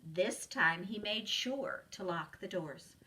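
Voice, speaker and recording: A woman reading a children's story aloud as a preschool teacher would, with expressive narration. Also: medium pitch, slow pace, far from the mic, a little hiss or hum.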